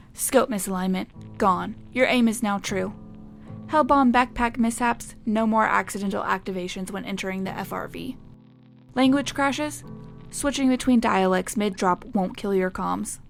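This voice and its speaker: Actress as cheeky military radio tech reporter, chatty and punchy, mid-pitched with marked vocal fry. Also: female voice, natural voice. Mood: brisk, cheeky. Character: perky, cheeky female wartime tech correspondent. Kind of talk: scripted radio update. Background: faint music.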